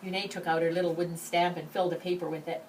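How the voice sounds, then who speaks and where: A woman reading a children's storybook aloud in an expressive narration, with deliberate phrasing and strong emphasis. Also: on the low side. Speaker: storybook reader. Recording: slightly distant mic, faint background noise.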